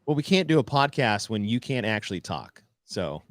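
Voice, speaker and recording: A man's voice: lowish voice, sing-song, moderate pace, no room sound, studio quality. A man co-hosting a casual podcast, teasing his partner and laughing often as he talks. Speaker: podcast co-host.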